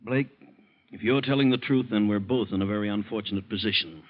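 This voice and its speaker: An actor playing a stern, incorruptible middle-aged American district attorney in an old-time radio drama, with a firm, clipped, questioning manner. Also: male voice, fairly low pitch, fairly quick, natural voice. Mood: resolute. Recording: dull, lo-fi old radio recording.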